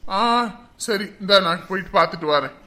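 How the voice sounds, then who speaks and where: A woman's voice: on the low side, brisk, sharp tone. Woman playing an easygoing village father. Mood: calm. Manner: soothing, easygoing. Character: calm village father of the family.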